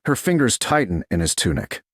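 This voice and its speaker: A man's voice: fairly low pitch, big pitch swings, brisk, a bit bright. A man reading a romance story as a dramatic audiobook narrator, in short, weighted, suspenseful phrases.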